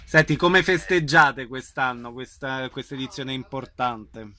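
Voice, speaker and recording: A man's voice: mid-range voice, measured pace, pushed and bright. A man hosting an interview, putting casual questions to his guest. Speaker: radio interviewer.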